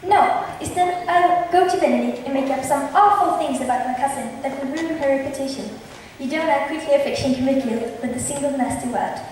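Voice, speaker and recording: A woman's voice: sits high, fairly quick, darkish tone, lightly acted. A woman in a student drama club playing a scheming young noblewoman and bride-to-be on stage. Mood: scornful. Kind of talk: amateur stage acting. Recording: very echoey hall, distant mic, faint hiss.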